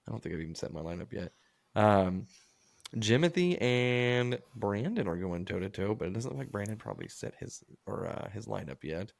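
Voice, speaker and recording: Profane, animated man from Missouri. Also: fairly low pitch, moderate pace, gravelly. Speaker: podcast co-host. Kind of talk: casual crude banter.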